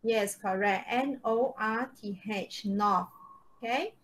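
A woman's voice: mid-range voice, slow, slight background noise. A woman teaching a live online English class, often checking understanding with short questions. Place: online video-call lesson.

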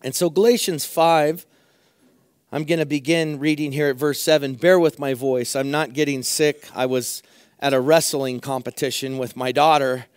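A middle-aged man preaching a sermon from a church pulpit, his voice hoarse, earnestly repeating phrases for emphasis. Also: mid-range voice, fairly even intonation, normal speed, dry recording, clean studio sound. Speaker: pastor. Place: church sanctuary at a pulpit, indoors.

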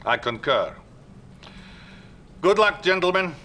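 Bright-voiced, courteous Soviet premier. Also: male voice, sits high, very animated, slow pace, slight background noise, exaggerated voice. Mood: gracious. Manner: formal, smooth diplomacy.

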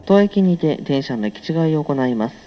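A man's voice: on the high side, big pitch swings, fairly quick, highs cut off. A man speaking as a train conductor, making polite, formal onboard announcements in stock phrases.

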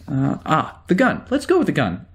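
A man playing a wry, easily exasperated security officer in a sci-fi audio drama, talking in a halting, conversational way. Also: fairly high pitch, wildly swooping pitch. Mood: distracted.

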